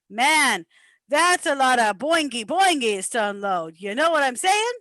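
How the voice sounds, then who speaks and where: A chatty, deferential mob henchman played by a woman in a radio comedy play. The voice is high and bright with very lively pitch, rambling and placating, in a dry-sounding recording. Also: slow pace, dry recording, exaggerated voice. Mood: grumbling. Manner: rambling, hesitant, placating wiseguy patter. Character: talkative, deferential male mobster sidekick. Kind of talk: amateur old-time radio comedy play.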